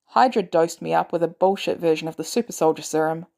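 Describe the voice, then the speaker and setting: A woman voicing a weary, guilt-ridden male former assassin in an audio drama, terse and hesitant, with dry, protective gruffness. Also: low voice, quick pace, darkish tone. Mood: guarded, dry.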